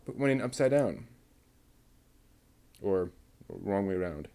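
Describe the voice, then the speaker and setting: A man giving casual running commentary while he builds a model kit, talking slowly and methodically and half to himself. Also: low voice, expressive, gravelly, mellow, smooth. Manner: muttering to himself, slow and methodical.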